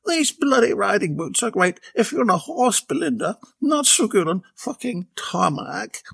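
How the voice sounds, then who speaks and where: A wheezing, tipsy woman squeaks along, breathless and whiny, as a man puts her on. The voice sits high and swoops a lot, with a raspy, gravelly edge. It reads as a comic storybook part. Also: very animated, normal speed, fairly bright tone, exaggerated voice.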